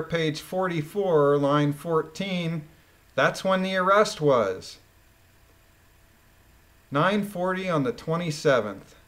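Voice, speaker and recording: A man reading a document aloud and adding informal, emphatic commentary with halting phrasing. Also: sits high, fairly bright tone. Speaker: commentator, former police officer.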